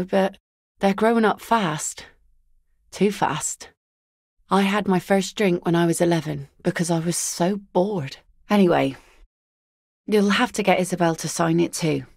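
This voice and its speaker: A gravelly, blunt fisherwoman. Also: lowish voice, a little mellow, no room sound. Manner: dry, wry and plain-spoken. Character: weathered woman net picker, blunt and dry. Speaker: fisherwoman and mother. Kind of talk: video game voice acting.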